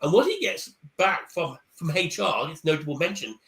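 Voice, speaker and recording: Bright, halting man on a call. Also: a little high, big pitch swings. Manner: hesitant, repeats words, then speeds up. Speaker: career coach guest speaker. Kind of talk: casual chat on a live online panel. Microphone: video call.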